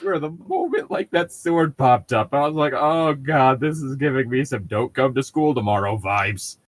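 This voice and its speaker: A man's voice: medium pitch, sing-song, moderate pace, no room sound. A young man in casual banter with friends, teasing, swearing and picking at details while co-hosting a comic read-aloud. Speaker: co-host reading fan fiction aloud.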